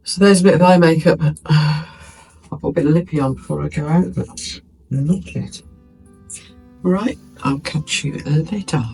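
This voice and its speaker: A man's voice: a little high, unhurried, creaky, a little mellow, husky, soft background music, rough recording. A British man chatting casually in a craft vlog, sounding stuffy and bunged up from a lingering cold, musing and poking fun at himself. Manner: chatty, musing, self-mocking. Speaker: craft vlogger.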